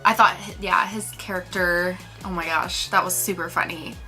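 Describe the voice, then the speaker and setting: A woman's voice: fairly low pitch, steady tone, moderate pace, over music. A woman co-hosting a movie review in a casual, chatty, informal way. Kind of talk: casual film discussion.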